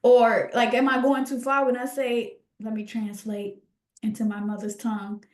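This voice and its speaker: A woman co-hosting a scholarly podcast, talking reflectively and emphatically in a conversational way, often halting and repeating words for emphasis. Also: on the high side, level tone, darkish tone, roomy sound.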